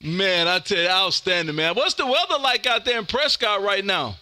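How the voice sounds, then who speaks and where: A man's voice: sits high, lively intonation, normal speed, background noise. A boisterous, folksy man, hosting amateur radio calls and joking with his contacts. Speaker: amateur radio host, live streamer. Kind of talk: animated ham radio contact calls and banter. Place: home radio room.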